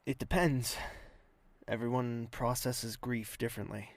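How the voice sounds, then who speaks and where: An actor playing a courteous, quietly caring host and narrator with a husky, measured, dry delivery in an audio drama. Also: medium pitch, fairly even intonation, dry recording, natural voice. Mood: concerned, soothing.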